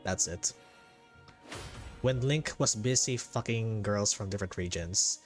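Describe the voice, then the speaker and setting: A man giving casual, jokey game playthrough commentary as a streamer, with drawn-out reactions. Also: fairly low pitch. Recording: game music behind the voice.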